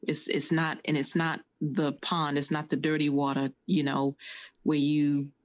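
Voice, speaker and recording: A middle-aged woman from Rhode Island, a podcast guest speaking in a measured way with pauses. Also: low-pitched, level tone. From Providence, Rhode Island. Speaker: guest, tea business co-owner. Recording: dull lo-fi audio.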